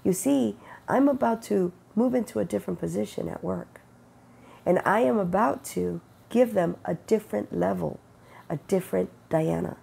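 A middle-aged woman with a cold, sniffling and rough-voiced, giving an earnest, unhurried spiritual testimony into an earbud mic in her bedroom. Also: mid-range voice, mellow. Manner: earnest, unhurried, quotes God in conversation. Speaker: faith vlogger. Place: bedroom with bright window, indoors. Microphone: wired earbud mic, webcam close-up.

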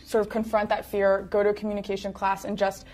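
A woman's voice: medium pitch, completely flat delivery, quick pace, darkish tone. A young woman interviewing and reading out audience questions, hesitant with many ums. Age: young adult. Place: small indoor studio set.

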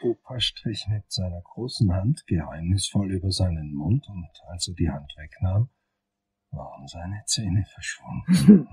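An actor playing a hesitant, smitten dental patient in a crime radio drama, speaking softly and haltingly, a little away from the mic. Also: male voice, deep voice, animated, slightly rough, distant mic, heavily acted, poor audio. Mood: wistful. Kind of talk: German crime radio play acting.

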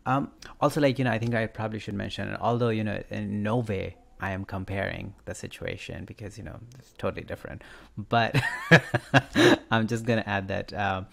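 A man hosting a podcast, telling a story and discussing it casually, with a gravelly creak in his voice. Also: on the low side, fairly even intonation, normal speed. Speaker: podcast host.

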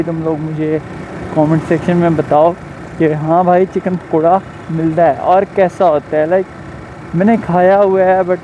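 A man's voice: high-pitched, dark tone. Chatty young Pakistani man on a motorbike. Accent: Pakistani Urdu. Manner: chatty, rambling, addresses viewers as brother. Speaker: food delivery rider and motovlogger. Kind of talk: casual chat to viewers while riding. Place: outdoors on a motorbike on a town road. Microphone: helmet or chest camera while riding. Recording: dull lo-fi sound with faint engine and traffic noise.

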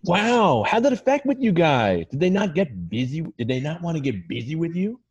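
A man acts out a dim, breezy young male model, highish in pitch and swooping through his lines. He is slangy and nosy. The sound is muffled, like a home video call, and a little husky. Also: sing-song, normal speed, darkish tone. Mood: astonished. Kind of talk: comedy script table read.

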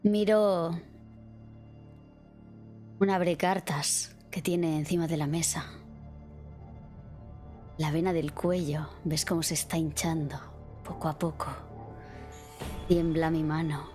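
A woman with a low voice improvises first-person narration as a player in a tabletop horror role-playing game. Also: steady tone, slow pace, pauses often, dark tone, faint music behind.

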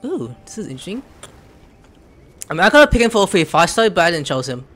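A young man doing casual let's play commentary, excitable and muttering to himself as he plays. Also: high-pitched, fast. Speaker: gaming streamer. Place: small room indoors at home. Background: game music.

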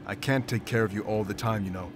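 A man's voice: on the low side, steady tone, fast, a little hiss or hum, natural voice. Stern protective wolf warrior, voiced by a man. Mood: stern, insistent. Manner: firm, commanding. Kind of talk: video game voice acting.

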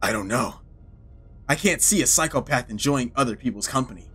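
A man reading a grim male cannibal killer's lines in a lowered, grave voice. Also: animated, a little fast, fairly bright tone, husky. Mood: cold. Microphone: headset.

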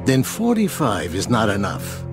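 An actor playing a shrewd older loan shark in a video game, measured and courteous with a threatening edge. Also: male voice, fairly low pitch, wildly swooping pitch, over music, heavily acted. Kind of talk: video game cutscene acting.

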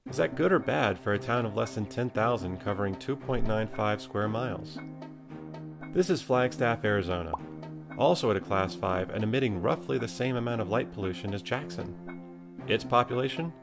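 A man's voice: fairly low pitch, moderate pace, gravelly. A man with an American accent reading scripted documentary narration. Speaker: narrator and nature photographer. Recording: music behind. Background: music.

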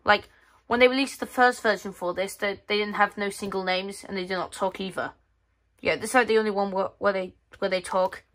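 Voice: mid-range voice, steady tone, fairly quick, fairly bright tone. A female speaker giving casual commentary on a magazine page by page, reading bits aloud, with hesitant, rephrased sentences. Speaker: host of a magazine flip-through video. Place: indoors at a tabletop.

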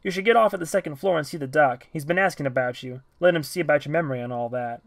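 A man voicing a calm, weary man who insists on following procedure. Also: fairly high pitch, fast talker, gravelly, dry recording.

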